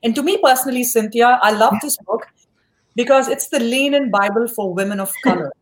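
A woman's voice: fairly high pitch, a little fast, rough recording. Woman podcast host, gushing with praise, interviewing a guest. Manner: effusive, praising.

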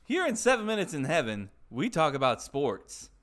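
A man's voice: on the high side, wildly swooping pitch, moderate pace, a bit bright. A young man co-hosting a podcast, running a segment in a mock-announcer way with casual swearing. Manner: mock-announcer delivery, profane.

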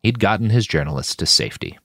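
A man's voice: fairly low pitch, expressive, quick pace, a bit bright, dry recording, clean studio sound. A man reading a fiction story aloud as a dramatic audiobook narrator. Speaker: author narrating his fiction podcast.

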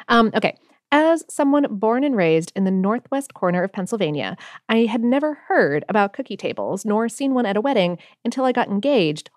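A woman's voice: medium pitch, darkish tone, dry recording, clean studio sound. A woman co-hosting a podcast, chatting casually, laughing often and reading listener letters aloud. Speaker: podcast co-host.

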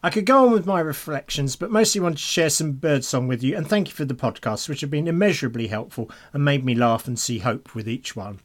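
A man's voice: fairly high pitch, lively intonation, brisk, few pauses. A man co-hosting a podcast, chatting casually and reading out listener emails. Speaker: podcast co-host.

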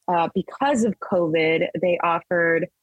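A woman in her thirties gives a relaxed interview and rambles through long answers, stopping and restarting often. Her voice is low for a woman and slightly husky. The sound is dry and close. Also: unhurried, no echo, muffled recording. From Texas, raised in Las Vegas. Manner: rambling, with many restarts and fillers. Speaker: guest artist. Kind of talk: casual interview conversation.

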